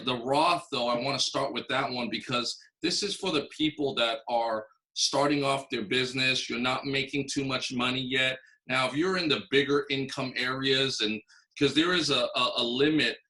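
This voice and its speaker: Bright-voiced casual man talking to camera. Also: medium pitch, rough recording. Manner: loose and direct, casual swearing, frequent "okay?" check-ins. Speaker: host giving money advice to entrepreneurs.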